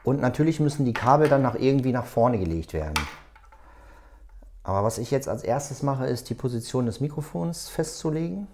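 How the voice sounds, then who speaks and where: A man hosting a hands-on tutorial, explaining step by step in a halting, unscripted way as he works. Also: mid-range voice, animated, a little mellow. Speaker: tutorial host. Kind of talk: hands-on tutorial, talking while working.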